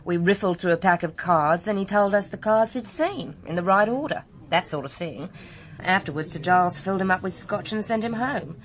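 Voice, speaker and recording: A woman's voice: mid-range voice, normal speed, lightly acted. An actress playing a suspicious young British woman in a radio drama, talking in a pointed, confiding, conversational way. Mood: conversational, wry.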